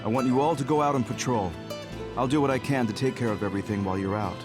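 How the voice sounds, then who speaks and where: Guy voicing calm guarded officer in a video game, measured explaining, mid pitch, some music under it. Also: natural voice. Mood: grave, composed. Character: composed adult male senior officer, guarded and apologetic. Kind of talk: English video game voice acting.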